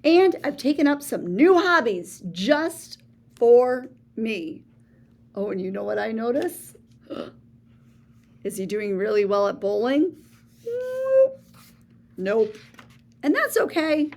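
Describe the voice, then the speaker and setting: A woman reading a children's picture book aloud, expressive, with gasps and hushed asides to her listeners. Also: on the high side, slow pace, long pauses. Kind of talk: read-aloud storytelling for children.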